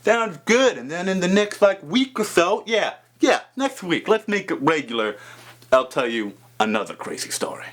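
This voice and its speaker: A young man with a loud voice telling a comedic story on his vlog, swinging between mumbled asides and loud exclaims. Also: sits high, very animated, normal speed. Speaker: vlogger.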